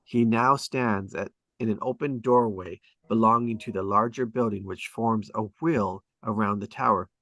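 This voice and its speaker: A man's voice: fairly low pitch, steady tone, normal speed, hardly any pauses, a bit bright, no echo. Man narrating a script, dry close sound. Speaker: narrator reading stage directions. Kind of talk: dramatic scripted narration at a table read.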